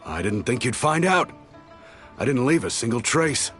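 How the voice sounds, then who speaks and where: An actor playing a shifty merchant in a video game, defensive, indignant and easily flustered. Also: male voice, mid-range voice, big pitch swings, measured pace, a bit bright, faint music behind. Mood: resigned, bitter. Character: shifty, flustered merchant man caught cheating on taxes.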